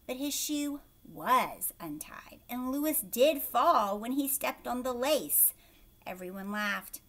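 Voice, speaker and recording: Female storyteller for kids' picture books; high-pitched, very lively, unhurried, teacherly asides and questions. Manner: expressive, checks understanding with questions to young listeners. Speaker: teacher reading a picture book aloud to children. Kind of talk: animated children's read-aloud with explanatory asides.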